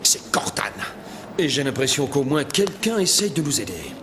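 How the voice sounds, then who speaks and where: A man's voice: medium pitch, very animated, normal speed, background noise, close to an everyday voice. A man playing a commanding warrior in a French-dubbed nineties cartoon, dramatic and imperious. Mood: grave, indignant. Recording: loud music behind cartoon dialogue.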